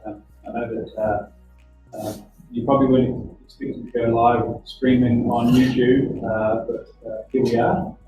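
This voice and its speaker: A middle-aged man with a New Zealand accent hosts a live panel at a technical institute and halts often on ums and uhs. He sounds far from the mic in a very echoey room. The recording is dull, with music playing clearly behind him. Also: mid-range voice. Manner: halting, many ums and uhs. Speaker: host. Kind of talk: live-streamed panel chat. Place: teaching workshop at a technical institute.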